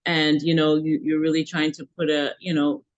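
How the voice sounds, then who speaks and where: A man's voice: on the high side, little pitch movement, normal speed, highs cut off. Effusive male host full of fillers. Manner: effusive, many "you know" fillers. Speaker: interview host. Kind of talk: casual interview hosting.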